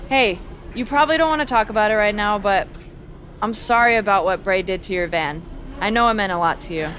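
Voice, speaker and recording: A woman's voice: medium pitch, brisk, a bit bright, slight background noise, lightly acted. A woman playing a caring young wrestler in a video game, speaking tentatively and sympathetically. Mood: sympathetic.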